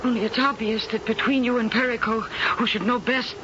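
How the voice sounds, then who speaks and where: An actress playing a gentle but firm young woman in a 1970s radio drama, speaking calmly and reasonably. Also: a little high, fairly quick, lightly acted. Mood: reasoning. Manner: calm and reasoning, soft-spoken. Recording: dull old radio broadcast with hiss.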